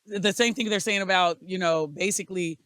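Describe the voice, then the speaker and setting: A woman's voice: on the low side, fairly quick, clean tone, dry recording. A middle-aged woman hosting a podcast in a studio, chatting casually, teasing and firing off questions. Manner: lively, teasing, lots of questions. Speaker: podcast host. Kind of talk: casual chat.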